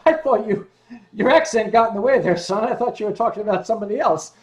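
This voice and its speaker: A man hosting a casual livestream, joking and swearing freely, with bursts of rapid talk. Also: sits high. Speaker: livestream host. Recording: roomy room with loud guitar music. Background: guitar music.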